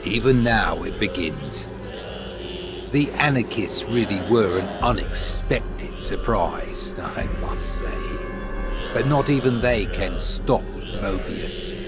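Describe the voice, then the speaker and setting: A slow, menacing villain. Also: male voice, fairly low pitch, animated. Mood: gloating threat. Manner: slow, mocking, chuckling menace. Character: menacing, smug male villain savouring his threats. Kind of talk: fantasy audio drama acting. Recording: loud music behind the voice.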